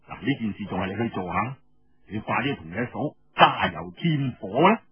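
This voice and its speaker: Man acting a sly, husky Cantonese schemer. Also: fairly low pitch, animated, brisk, dry recording, lo-fi sound, voice pushed down. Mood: sly, amused. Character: sly, unhurried male rebel leader, amused schemer.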